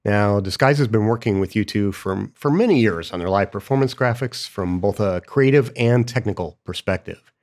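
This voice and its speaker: A man hosting a podcast, hoarse from the flu, reading a subdued introduction. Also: lowish voice, moderate pace, a little mellow. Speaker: podcast host. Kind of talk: scripted intro.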